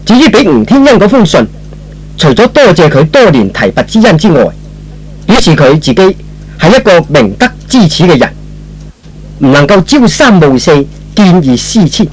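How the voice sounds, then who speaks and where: A man's voice: high-pitched, big pitch swings, moderate pace, slightly rough, poor audio. A man giving scripted narration in the style of old-fashioned radio drama.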